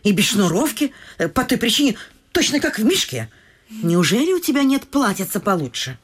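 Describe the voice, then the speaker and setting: A woman playing a flustered, fussy middle-aged noble mother in a vaudeville radio play, breathy and stammering theatrically. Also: on the high side, very animated, moderate pace. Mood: flustered, scolding. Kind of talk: Russian classic vaudeville radio play acting.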